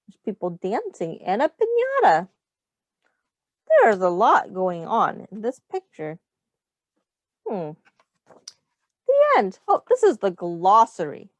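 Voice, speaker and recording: A woman reading a picture book aloud to young children as a teacher, explaining simply and pausing to ask questions. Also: medium pitch, no echo, highs cut off.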